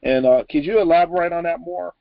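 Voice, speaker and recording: A man's voice: a little high, fast, gravelly, dry recording. Casual American male interviewer, muffled dry sound. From Texas, United States. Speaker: interviewer, host.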